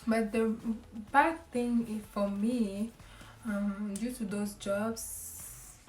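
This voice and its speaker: A young woman with a Cameroonian accent, giving casual advice to camera in a halting way, with lots of ums and restarts; she grew up speaking French. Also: fairly high pitch, fairly even intonation, darkish tone. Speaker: vlogger. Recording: echoey room, voice a bit far from the mic.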